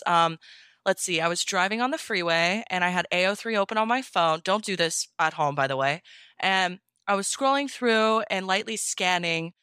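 A woman's voice: mid-range voice, pushed and bright, smooth, no echo, studio quality. A woman guest chatting casually and telling stories in a gushing, self-mocking way. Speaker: interview guest, fan fiction audio reader. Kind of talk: casual animated storytelling.

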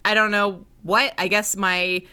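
A woman in her thirties with a bright, lively tone co-hosts a relaxed podcast chat. She talks loosely, laughs often and pokes fun at herself. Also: medium pitch, measured pace, clean tone. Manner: laughs often, chatty and self-deprecating. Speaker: podcast co-host. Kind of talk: casual chat.

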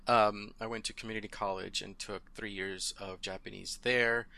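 Gravelly, bright-toned man in his thirties. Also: on the low side, flat delivery, moderate pace. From Southern California. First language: Spanish. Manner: hesitant, many fillers, laughs often. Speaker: podcast guest. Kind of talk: casual interview chat.

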